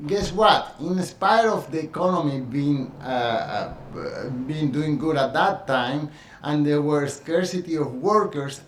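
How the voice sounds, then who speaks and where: Middle-aged guy with a spanish accent, kinda high voice, earnest, lots of uhs, echoey room. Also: slight background noise. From Colombia. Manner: earnest, reflective, with frequent fillers and restarts. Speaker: dance teacher sharing his experience. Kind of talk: personal monologue.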